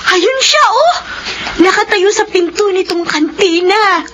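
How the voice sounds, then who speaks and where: An actress playing a kindly, excitable Filipina canteen woman in a radio drama. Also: extremely high pitch, a little slow, slight background noise, full character voice. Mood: excited, teasing.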